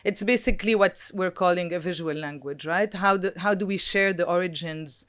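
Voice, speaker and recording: A woman in her thirties from Lebanon, whose first language is Arabic, giving a design talk in a thoughtful, halting way with many pauses and fillers. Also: fairly low pitch, fairly bright tone. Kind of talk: conference talk.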